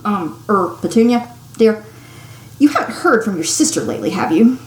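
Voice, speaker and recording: A woman's voice: medium pitch, big pitch swings, moderate pace, darkish tone, close to an everyday voice. A woman reading the part of a nervous, hesitant middle-aged husband in a storybook, faltering and mumbling, in an echoey room. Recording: echoey room with faint noise.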